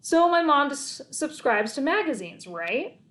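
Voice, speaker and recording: A woman's voice: high-pitched, big pitch swings, unhurried, a bit bright, voice pushed up, exaggerated voice. A woman voicing a confident, practical boy from a children's storybook, matter-of-fact and emphatic. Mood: insistent. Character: confident practical boy, schemer and math champion.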